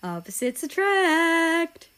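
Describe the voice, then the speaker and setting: A woman voicing brash, nosy teenage friends in a story, blunt, excitable and gossipy. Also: extremely high pitch, slow pace, pitched above the performer's own voice, heavily acted. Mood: eager, teasing.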